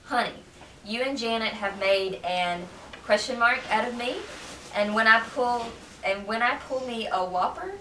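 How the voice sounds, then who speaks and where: A woman's voice: fairly high pitch, moderate pace, sharp tone. A woman reading old personal letters aloud as a dramatic reading at a public event. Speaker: reader at a public library event. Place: library room, reading to a small gathering. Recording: roomy, distant event recording with faint noise.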